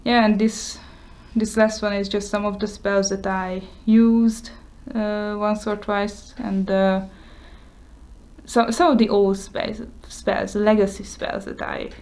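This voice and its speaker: A woman's voice: medium pitch, flat delivery, slow pace, darkish tone. A woman whose first language is Hungarian, talking casually and hesitantly with many fillers as she shows her journal. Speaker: vlogger showing her journal. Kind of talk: casual show-and-tell.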